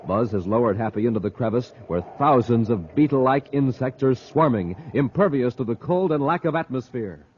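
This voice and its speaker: An American man announcing a 1950s radio show in an enthusiastic, emphatic sales-pitch style. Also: expressive, moderate pace, few pauses, darkish tone, slightly rough. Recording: dull vintage radio transcription.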